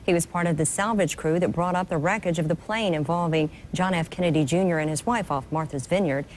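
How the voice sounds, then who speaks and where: A woman news reporter delivering scripted narration in a smooth, measured way. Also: lowish voice, a little hiss or hum.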